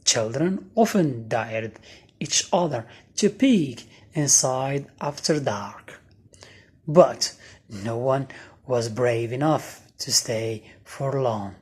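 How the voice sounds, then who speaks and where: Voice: mid-range voice, expressive, takes it slowly, darkish tone, slightly rough. A storyteller reading a scripted bedtime story for children.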